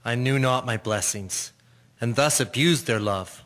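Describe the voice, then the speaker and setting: An actor playing a brash, lustful, hot-tempered young sailor in a radio drama. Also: male voice, mid-range voice, measured pace, a bit bright, natural voice. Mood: repentant. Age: young adult.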